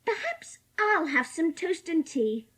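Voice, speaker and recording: A woman's voice: very high voice, sing-song, slow, fairly bright tone, full character voice. A woman playing a spoilt, sullen little rich girl with an imperious, curt, formal manner in an audiobook drama. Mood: reluctant.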